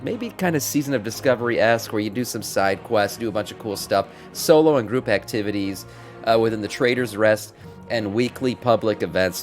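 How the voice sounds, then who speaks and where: A middle-aged man hosting a gaming news stream, casually reading out updates with informal, mildly sweary asides to viewers. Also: fairly low pitch. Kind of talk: casual livestream commentary with some reading aloud. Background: background music.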